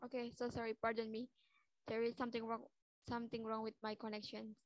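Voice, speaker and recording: A woman with an Indonesian accent hosting an online class, speaking English slowly and carefully. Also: a little high, monotone, dry recording. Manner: slow, careful English with pauses. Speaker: host. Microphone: video call.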